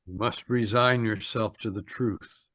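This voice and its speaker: A man acting a stern, calm guide to the dead in an old-time radio mystery, speaking formally and with measured solemnity. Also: on the low side, no room sound.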